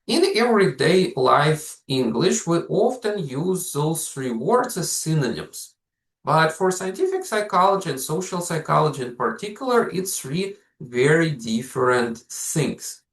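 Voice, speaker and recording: A Russian-accented man lectures on psychology with a lively, rising-and-falling delivery. He explains things step by step, often pausing on "so" and "uh". The recording carries a noticeable roomy echo. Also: on the high side. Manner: explanatory, uses frequent "so", "uh" and "like". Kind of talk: university lecture.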